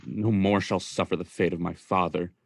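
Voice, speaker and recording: Low-voiced, melodramatic young man, acted. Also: fairly even intonation, moderate pace, gravelly, dry recording. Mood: resolute. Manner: melodramatic monologue, emphatic. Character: indignant, self-righteous grieving son, bent on exposing a killer. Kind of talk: student radio play acting.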